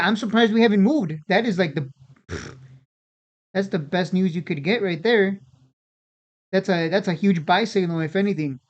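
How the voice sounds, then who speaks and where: Casual stock commentator, slightly dull sound. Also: medium pitch. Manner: informal, repeats and restarts phrases. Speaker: host of a stock-trading video channel. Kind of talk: casual unscripted market commentary.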